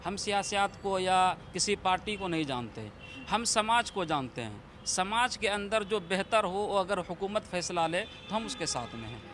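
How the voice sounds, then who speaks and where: High-voiced man, an imam answering formally. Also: expressive, moderate pace, fairly bright tone, a little hiss or hum. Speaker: mosque imam, interviewee. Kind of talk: measured interview answers.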